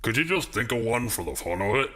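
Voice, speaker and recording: Man doing a gruff speakeasy doorman. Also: mid-range voice, big pitch swings, fast talker, fairly bright tone. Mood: gruff officialness. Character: gruff male speakeasy doorman, dutiful but playful. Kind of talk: comic detective radio-play acting.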